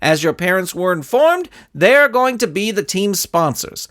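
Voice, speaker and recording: A man voicing an awkward, well-meaning children's soccer coach with a halting, flustered way of talking in a storybook. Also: high voice, very animated, a bit bright, pitched above the performer's own voice, full character voice. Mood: awkward, polite.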